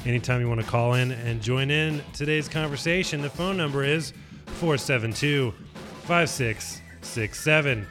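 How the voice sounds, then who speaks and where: A man's voice: mid-range voice, moderate pace, over music. A man co-hosting a radio show from a studio, chatting in a playful, boastful, joking way. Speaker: radio co-host. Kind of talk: casual radio banter.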